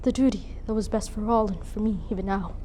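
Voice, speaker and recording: A woman's voice: medium pitch, brisk, dark tone, a little hiss or hum, pitched below the performer's own voice, close to an everyday voice. A woman performing a dramatic monologue as a grieving, bitter, proud young noblewoman mother, with an emotional theatrical delivery. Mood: proud, defensive. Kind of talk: dramatic monologue for speech competition.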